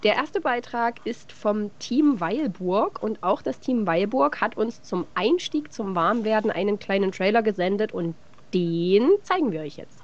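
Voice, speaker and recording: A woman's voice: mid-range voice, expressive, measured pace, dull audio. Older woman announcing on a video call. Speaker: co-host introducing the entries. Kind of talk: live-stream presenting. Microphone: headset on a video call.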